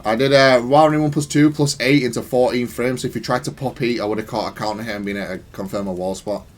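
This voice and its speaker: A man's voice: mid-range voice, steady tone, fast, soft background music. A man giving casual, analytical gameplay commentary in a matter-of-fact, self-critical way. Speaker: gaming content creator.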